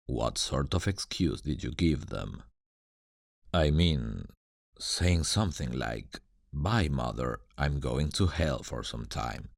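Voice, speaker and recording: An ancient demon guardian for an ASMR roleplay. His voice is extremely deep and crackles with gravel. He is suave, sardonic and condescending, and his intonation rises and dips theatrically as he teases. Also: dry recording, clean studio sound, exaggerated voice. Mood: coaxing, teasing. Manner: slow, deliberate, dramatic, condescending toward humans.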